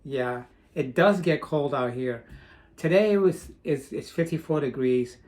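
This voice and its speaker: A man's voice: on the high side, measured pace, darkish tone. Rambling man in a casual vlog. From Portugal. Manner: rambling, with restarts. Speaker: vlogger. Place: home in Florida.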